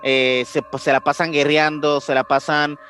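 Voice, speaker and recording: Halting, higher-voiced Mexican man. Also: little pitch movement, pushed and bright. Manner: halting, searching for words, slangy. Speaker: podcast co-host. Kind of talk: casual podcast chat about ancient mysteries. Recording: music behind the voice.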